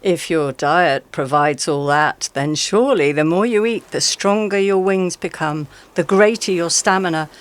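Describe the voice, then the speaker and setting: Actor playing a sly, flattering female fossa. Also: mid-range voice, lightly acted. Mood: sly, coaxing. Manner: honeyed, drawn-out flattery. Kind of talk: radio play acting.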